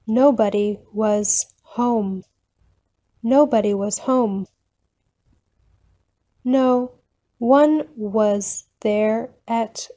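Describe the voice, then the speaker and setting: A woman reads simple practice sentences for learners at a very slow, deliberate pace. Her voice sits a little high but sounds soft and mellow. She says each line slowly, then repeats it at an everyday speed. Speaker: English teacher reading phrases. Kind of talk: slow, clear phrase reading for learners.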